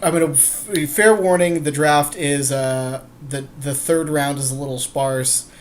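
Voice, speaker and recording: A male podcast host with a slightly high voice. He chats casually and without a script alongside a co-host, speaking candidly and swearing freely. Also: steady tone, unhurried. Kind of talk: casual, unscripted chat with a co-host.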